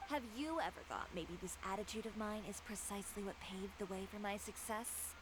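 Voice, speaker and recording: An actress playing a proud, self-reliant female tailor who speaks in a dry, composed, quiet way. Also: medium pitch, a little fast, background noise, natural voice. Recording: game audio with background ambience.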